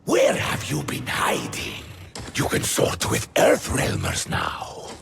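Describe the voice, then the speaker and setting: A gravelly, greedy alien bounty collector, whose pitch swings wildly up and down as he drags out every word. His speech is slow and rough, set over loud game score music. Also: wildly swooping pitch, exaggerated voice. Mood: menacing. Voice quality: gravelly, drawn-out menacing delivery.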